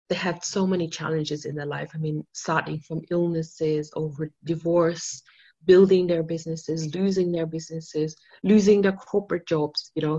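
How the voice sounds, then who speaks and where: Woman, from Austria, non-native English speaker, low voice, eager rambling storytelling, dull lo-fi sound. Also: a little mellow, breathy edge. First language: not English. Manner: enthusiastic storytelling with many restarts and fillers. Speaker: author, interview guest. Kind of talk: casual interview talk.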